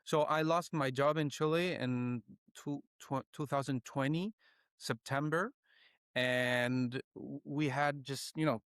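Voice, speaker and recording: Guy guest on a podcast, clear smooth voice, dry sound, talks in halting casual bits. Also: medium pitch, fairly even intonation, fairly bright tone, no room sound. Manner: halting, often says "you know". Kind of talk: casual conversational interview.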